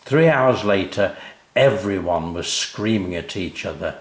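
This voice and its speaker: An older British man narrates a children's story. He reads at a slow, measured pace with lots of rise and fall in his expressive delivery. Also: low-pitched, reverberant.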